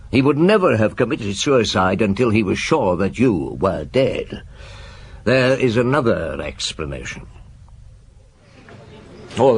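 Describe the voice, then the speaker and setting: Low-voiced, probing police inspector. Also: male voice, husky. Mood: matter-of-fact. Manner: measured, probing, hesitant hums and questions. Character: shrewd, probing male police chief inspector recounting his case. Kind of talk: radio drama acting, narrating and interrogating.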